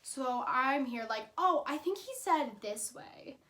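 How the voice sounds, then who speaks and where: High-pitched, chatty young woman storyteller. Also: expressive, a little slow, fairly bright tone. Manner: chatty, informal, dramatic emphasis in retelling. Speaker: YouTube storyteller. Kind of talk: casual personal storytelling.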